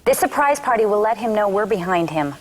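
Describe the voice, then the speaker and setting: An actress reading a supportive, upbeat small-town diner owner at a sitcom table read. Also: female voice, medium pitch, expressive, fairly quick, slight background noise, lightly acted, rough recording.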